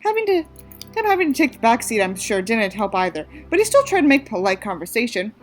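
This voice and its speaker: A woman's voice: on the high side, very animated, fairly quick, soft background music. A woman from the United States doing a mocking dramatic reading, theatrical, with sarcastic asides. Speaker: reader and commentator.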